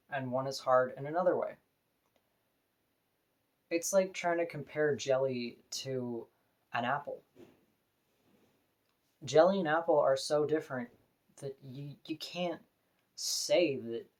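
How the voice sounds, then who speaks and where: A woman with a deep voice, talking to camera in an earnest, opinionated, plain-spoken personal vlog. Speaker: vlogger.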